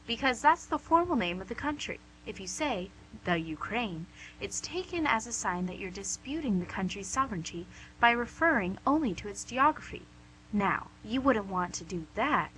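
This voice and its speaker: A woman's voice: medium pitch, lively intonation, normal speed, a bit bright, natural voice, low-quality recording. A woman playing a suave female devil boss in an amateur radio comedy, pedantic, condescending and mock-polite. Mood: pedantic, scolding. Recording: amateur radio recording with hum, noise.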